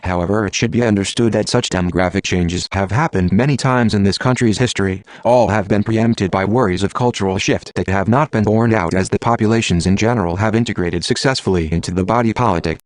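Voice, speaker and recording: A man's voice playing a calm, well-read AI assistant, measured, formal and impartial in scripted dialogue. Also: lowish voice, dry recording, lightly acted. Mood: measured, informative.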